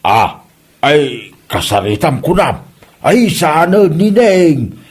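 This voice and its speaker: A man's voice: on the high side, very animated, slow, husky, full character voice. A man playing a disapproving neighbour in a comedy drama, speaking with an Ilocano accent. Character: male neighbour hearing out village complaints, disapproving.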